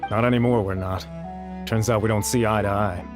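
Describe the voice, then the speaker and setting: An actor playing a principled, disgruntled researcher in a video game, blunt and somewhat dismissive. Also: male voice, on the low side, steady tone, fairly quick, music in the background, lightly acted. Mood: curt. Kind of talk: video game character acting.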